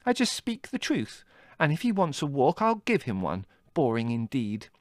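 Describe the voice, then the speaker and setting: A man's voice: fairly high pitch, very animated, normal speed, no echo, studio quality, pitched above the performer's own voice. A man voicing a haughty young woman who speaks in an airy, arch, easily offended way. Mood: indignant.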